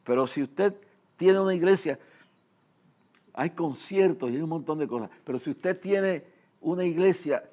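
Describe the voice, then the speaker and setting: Older Puerto Rican preacher, rambling and earnest. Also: male voice, on the high side, a little mellow. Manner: rambling, halting, repeats phrases for emphasis; earnest, addresses listeners directly. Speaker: preacher and ministry leader. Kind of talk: informal religious address, personal testimony.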